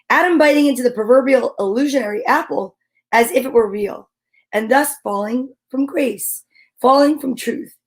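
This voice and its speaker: A woman hosting an online story time, speaking in a playful, expressive way as if chatting casually with viewers. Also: fairly high pitch, poor audio. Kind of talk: casual livestream chat with short read-aloud passages.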